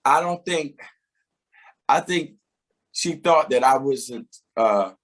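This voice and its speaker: Husky-voiced man telling his story. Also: mid-range voice, expressive, slow. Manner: reflective, storytelling, laughs often, repeats words when starting. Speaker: singer and interview guest. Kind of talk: casual conversational interview.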